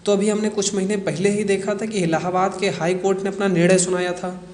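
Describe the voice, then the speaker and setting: A man's voice: high voice, flat delivery, a little fast, darkish tone. A man giving an explanatory current-affairs lecture in a didactic way, posing rhetorical questions and answering them himself. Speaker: educational explainer presenter. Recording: echoey reverberant room.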